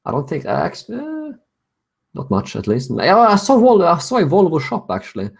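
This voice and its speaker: A man's voice: fairly high pitch, wildly swooping pitch, a little fast, creaky, breathy edge. A young Swedish man with a Swedish accent hosting a casual live-stream Q&A, answering viewer questions and chuckling often. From Sweden. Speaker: host.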